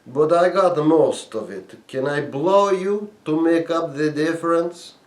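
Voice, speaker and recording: A man's voice: a little high, measured pace, voice pushed up, lightly acted. A man voicing a blunt, insistent young Russian woman who deals drugs, in an audiobook-style reading. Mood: dazed, bargaining. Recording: echoey room.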